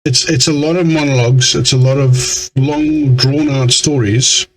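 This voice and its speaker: A man's voice: medium pitch, fairly even intonation, measured pace, rough recording. Exasperated man doing game commentary. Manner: exasperated, grumbling. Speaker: game streamer. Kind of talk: let's play commentary, reading game dialogue aloud.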